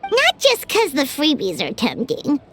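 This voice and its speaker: A woman voicing a tiny, excitable, food-loving fairy girl in a video game, exclaiming and talking about herself in the third person. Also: high voice, unhurried, noisy background, heavily acted. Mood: eager, tempted. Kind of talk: video game character dialogue.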